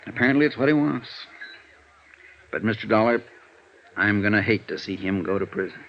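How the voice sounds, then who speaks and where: An actor playing a weary, conscientious defense lawyer in old radio drama, earnest and downcast, on a dated recording. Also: male voice, lowish voice, brisk, gravelly. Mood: somber, musing. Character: weary, conscientious male defense lawyer, troubled by his client. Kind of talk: 1950s radio drama dialogue. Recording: dull, faintly noisy old radio recording.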